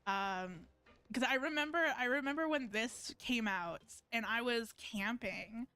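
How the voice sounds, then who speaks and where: A woman's voice: on the high side, unhurried, pushed and bright, smooth. A woman giving casual live-stream commentary on a game, rambling and thinking aloud as she plays.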